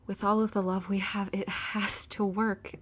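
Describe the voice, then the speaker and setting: A woman's voice: medium pitch, flat delivery, normal speed, natural voice. Heartbroken young woman played by an actress. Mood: quiet, hopeful. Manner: emotional, pleading. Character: heartbroken young woman pleading with her boyfriend. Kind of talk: audio drama acting.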